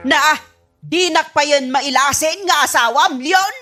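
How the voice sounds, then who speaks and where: A woman's voice: high-pitched, takes it slowly, music in the background. A woman playing a nagging, exasperated wife who scolds indignantly with an Ilocano accent in a comedy radio drama. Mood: scolding.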